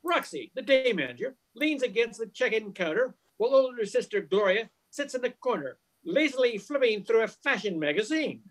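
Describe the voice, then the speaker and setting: A man's voice: extremely high pitch, big pitch swings, normal speed, hardly any pauses, a little hiss or hum. A man in his sixties reading scripted narration as the narrator of an old-time radio mystery. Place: living room at home. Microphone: video call.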